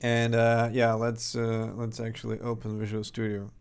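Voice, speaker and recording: A man's voice: medium pitch, monotone, normal speed, clean tone. A young man from Serbia with a slight Serbian accent, casually thinking aloud and chuckling as he talks through his game development on a livestream.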